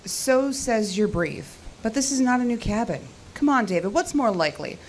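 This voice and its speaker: A woman's voice: a little high, animated, moderate pace, slight background noise, lightly acted, rough recording. A woman playing a confident, teasing cell tower technician in an audio drama, with a dry, sarcastic, bantering delivery. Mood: wry, sarcastic.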